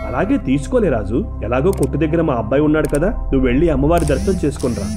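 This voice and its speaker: A man voicing an authoritative middle-aged father and shop owner in an animated moral story, with music behind him. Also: a little high, rapid-fire, close to an everyday voice. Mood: kindly. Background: background music.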